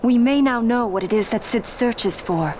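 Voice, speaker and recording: An actress playing a resolute young princess in a video game, speaking formally and earnestly. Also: female voice, fairly high pitch, animated, moderate pace, background noise, close to an everyday voice. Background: game score music.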